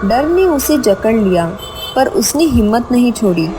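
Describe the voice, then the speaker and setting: A woman's voice: a little high, sing-song, measured pace, loud music behind the voice, poor audio. A woman narrating a scripted horror story with dramatic storytelling delivery.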